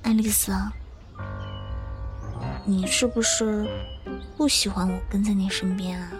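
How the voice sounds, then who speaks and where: A woman's voice: medium pitch, fairly even intonation, a little slow, close to an everyday voice. A woman playing a sweet, needy young girl in an audio drama, in a cute, plaintive manner. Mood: pouty, wistful. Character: sweet, needy young girl devoted to her female companion. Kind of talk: Chinese audio drama acting. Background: music.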